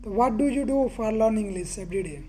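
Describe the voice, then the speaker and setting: Mellow-voiced Indian woman host. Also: medium pitch, lively intonation, quick pace, faint music behind. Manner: asks short questions, acknowledges with brief okays. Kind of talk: casual language-practice chat.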